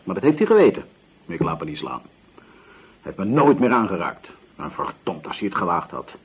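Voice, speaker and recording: An actor playing a sullen, defensive nineteen-year-old male army corporal in a radio drama, curt and evasive, with a raspy voice. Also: low-pitched, very animated, a little fast, full character voice. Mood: bitter, defiant. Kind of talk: Dutch radio drama acting.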